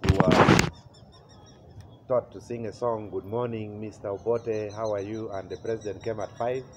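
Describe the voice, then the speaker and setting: A man in his forties from Uganda with a Ugandan accent, telling his life story as an interview guest, pausing often with "uh" and chuckling at memories. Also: on the low side, steady tone. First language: Acholi. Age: 40s. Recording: slightly muffled with faint noise.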